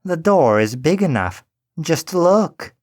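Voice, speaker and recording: A man voicing a cruel, mocking old witch in a storybook reading, slow and muttering. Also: a little high, big pitch swings, a little mellow, no echo, studio quality, voice pushed up, exaggerated voice. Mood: menacing.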